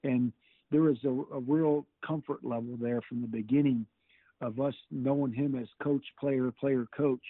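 A middle-aged football coach answers questions over a tinny, phone-like line that sounds completely dry. He talks in halting bursts and keeps repeating words, but stays upbeat. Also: medium pitch, steady tone, no echo. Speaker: football defensive coordinator. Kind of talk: interview answers.

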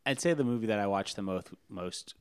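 Very low-voiced man in casual chat. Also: brisk, clean tone, no echo. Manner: playful, quizzing, rambling.

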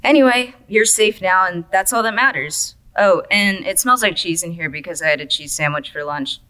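A woman's voice: on the low side, moderate pace, sharp tone. A woman playing a laid-back but needy coma patient who greets newcomers, flippant and slangy, in an audio drama. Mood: sheepish, casual. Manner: flippant, slangy, easily offended.

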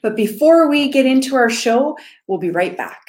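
Woman, podcast host, casual and enthusiastic, slightly high voice, roomy echo. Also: lively intonation, moderate pace. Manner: chatty and enthusiastic. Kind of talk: casual interview chat.

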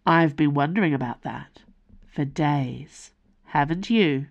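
A woman voicing a weary, gruff middle-aged male mercenary father, with a dry, understated delivery. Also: low voice, slow, muffled recording, heavily acted.

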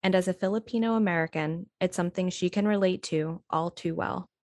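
Dry, close-recorded woman narrator, lowish pitch. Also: brisk, a little mellow, dry recording. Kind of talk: scripted documentary narration.

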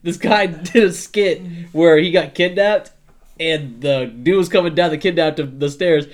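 A man's voice: fairly high pitch, a little slow. A man co-hosting a podcast, telling a funny story in casual chat and laughing along. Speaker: podcast co-host.

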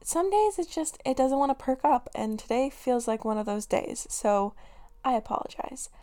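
A young woman hosting her own podcast, chatting casually and giggling, her voice raspy today with lots of filler words. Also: high voice, lively intonation, normal speed, mellow. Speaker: podcast host. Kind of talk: casual solo podcast chat.

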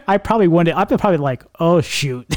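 A man's voice: fairly high pitch, animated, a little fast, dry recording. Chatty middle-aged man, raised voice. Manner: chatty and laughing, fills talk with "you know". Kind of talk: casual chat.

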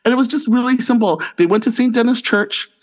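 A Canadian man in his forties, co-hosting a podcast and chatting with animated storytelling. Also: extremely high pitch, fast. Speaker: podcast co-host. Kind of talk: casual chat.

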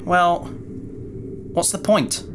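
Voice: medium pitch, drawn-out words, background noise. An actor playing a caring, practical space adventurer in a sci-fi audio drama, with an earnest, reasoning way of talking. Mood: arguing, exasperated.

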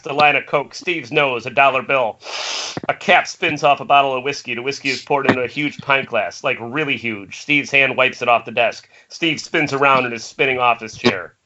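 A man's voice: mid-range voice, normal speed. A man narrating the stage directions of a screenplay in an amateur table read. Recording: dull, noisy internet call audio.

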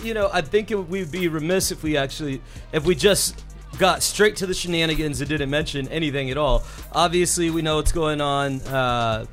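A man with a fairly high voice leads the chat, joking and poking fun at his co-hosts. He laughs easily and keeps moving the talk to the next topic. The feel is a relaxed podcast among friends. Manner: leads topics, laughs often, playful teasing. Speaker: podcast co-host. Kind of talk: casual chat.

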